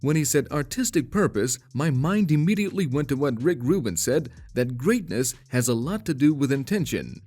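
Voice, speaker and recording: A man's voice: medium pitch, sing-song, normal speed, few pauses, darkish tone. A man narrating a scripted voice-over and explaining his points. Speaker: video narrator.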